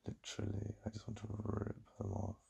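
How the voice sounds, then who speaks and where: A very dark, soft voice with heavy vocal fry: a man acting as a besotted English boyfriend in an ASMR roleplay. He speaks with a British accent, breathy and intimate, confiding his yearning to his lover. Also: completely flat delivery, moderate pace, full character voice. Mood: desirous. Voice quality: deep, breathy, gravelly.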